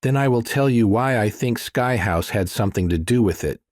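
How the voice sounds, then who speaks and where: A man narrating an audiobook plays a round, jovial Indian man. He is a teasing, warm-hearted friend, recorded close and dry. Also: mid-range voice, lively intonation, normal speed, no echo, voice pushed up, close to an everyday voice. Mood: confiding.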